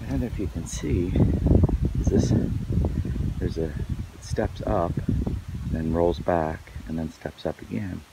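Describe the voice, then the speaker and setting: Hoarse middle-aged man, very low voice, casual rambling vlogger, outdoor noise behind him. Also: mellow, poor audio. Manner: rambling, lots of fillers and restarts. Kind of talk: casual outdoor vlog narration. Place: outdoors on wooded property.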